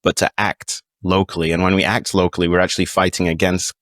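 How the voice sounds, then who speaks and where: A very low-pitched British man explains his views as a guest on a podcast. His delivery is casual and reflective, wandering through long explanations, and the sound is close and dry. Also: level tone, fast, fairly bright tone, no echo. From United Kingdom. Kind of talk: casual interview talk, explanatory.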